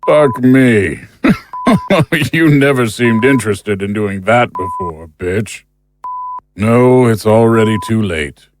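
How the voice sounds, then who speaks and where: A man's voice: mid-range voice, lively intonation, slow, over music. An actor playing a male dramatic role in an audio drama. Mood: cruel, mocking. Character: uneasy man, turning cruel fake doctor in dreams. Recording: clear background noise.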